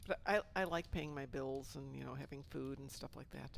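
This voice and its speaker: A man's voice: medium pitch, fairly quick, vocal fry, a bit bright. A man chatting casually with other gamers in a group voice chat. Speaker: gamer on a group gaming podcast. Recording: voice chat with faint background noise.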